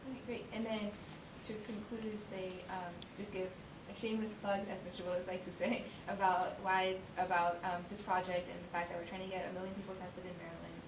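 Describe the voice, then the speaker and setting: A woman's voice: fairly low pitch, little pitch movement, brisk, hardly any pauses, far from the mic. A woman interviewing off camera, coaching and encouraging her subjects with prompts. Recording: roomy, off-mic with background noise.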